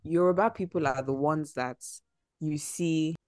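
A young woman from Nigeria co-hosting a podcast, talking in a relaxed, thoughtful way in a casual chat. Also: deep voice, measured pace, no echo, studio quality. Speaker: podcast co-host.

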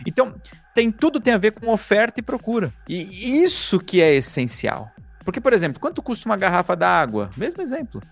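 Lively storytelling man, slightly high voice. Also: brisk, creaky, faint music behind. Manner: lively, emphatic, swearing, rhetorical questions. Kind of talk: storytelling monologue.

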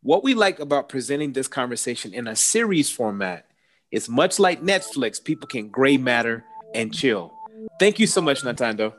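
A man's voice: medium pitch, sing-song, normal speed, a bit bright. A man presenting a podcast series segment in a light, scripted way.

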